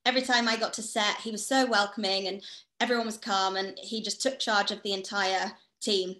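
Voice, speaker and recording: A woman's voice: mid-range voice, fairly even intonation, a little fast, sharp tone. A young woman, an actor, talking earnestly and thoughtfully about a film role on a video call. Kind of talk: casual chat in a video call Q&A. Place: indoor room at home. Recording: roomy video call audio.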